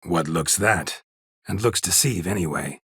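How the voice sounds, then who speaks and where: An actor playing a gruff, stoic, sardonic middle-aged monster hunter in a video game, a gravelly rasp and terse, deadpan delivery. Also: male voice, sits low, measured pace, dry recording, clean studio sound, exaggerated voice. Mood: dry, questioning. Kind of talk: video game character dialogue.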